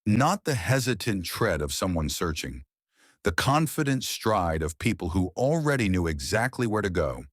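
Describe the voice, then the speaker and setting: A man reading a psychological thriller audiobook with tense, dramatic pauses. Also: low-pitched, sing-song, dry recording, clean studio sound. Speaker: audiobook narrator. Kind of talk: scripted thriller narration.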